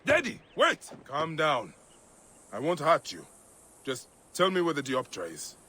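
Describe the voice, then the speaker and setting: An actor playing a stern, protective Egyptian warrior in a video game, speaking in a measured, resolute way. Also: male voice, on the high side, wildly swooping pitch, breathy edge, exaggerated voice. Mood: firm, patient. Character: stern, protective adult male Egyptian warrior, calm avenger. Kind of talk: video game cutscene dialogue and muttered asides. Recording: voice under loud game music.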